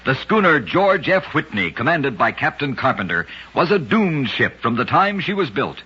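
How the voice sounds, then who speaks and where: A man tells strange tales in the style of a vintage radio host, with a very raspy, forceful voice. His delivery is emphatic and dramatic, swinging widely in pitch. The recording is dull and old. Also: sing-song, moderate pace. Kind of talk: scripted vintage radio storytelling of odd facts.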